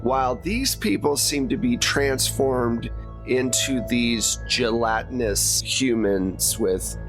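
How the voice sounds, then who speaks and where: A man's voice: mid-range voice, slow pace, over music. A man running a tabletop game as game master, recapping and narrating in an informal, explanatory way.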